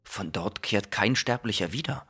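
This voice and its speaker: An actor playing a bitter, lamenting chorus voice in a theatrical verse radio drama. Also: male voice, on the low side, expressive, normal speed, bright, forceful tone, breathy edge, exaggerated voice. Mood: bleak, bitter.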